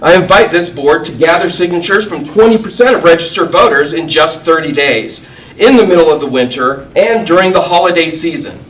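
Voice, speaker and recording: A man reads a prepared public comment at a school board meeting. His voice sits a little high, and his pointed, critical delivery stays measured. He is picked up from some distance over faint room noise. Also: a bit bright.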